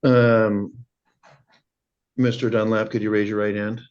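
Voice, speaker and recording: A man's voice: fairly low pitch, flat delivery, a little slow, darkish tone. A man presiding as a judge, questioning people in an informal, plain-spoken and conversational way. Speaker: judge presiding over a hearing. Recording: dull, muffled courtroom stream audio.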